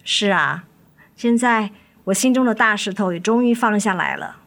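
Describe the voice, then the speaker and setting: A woman's voice: medium pitch, moderate pace, a bit bright, natural voice. A woman acting a weary, resolute wife and mother in a radio drama, firm and reproachful with her husband. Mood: weary, calm. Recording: studio radio drama with music underneath.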